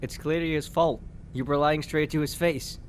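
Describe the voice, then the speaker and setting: A man's voice: fairly high pitch, fairly even intonation, moderate pace, slight background noise, lightly acted. A man playing a blunt, sardonic rebel. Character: cynical male rebel who threatens the aide.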